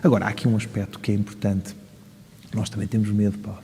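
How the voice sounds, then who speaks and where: A man's voice: fairly low pitch, little pitch movement, fast talker, creaky, dark tone, slight background noise. A man from Portugal with a European Portuguese accent, speaking as a community leader in an interview, articulate and emphatic with rhetorical questions. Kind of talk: interview answers. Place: large reverberant room in a mosque. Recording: hall-like long reverb.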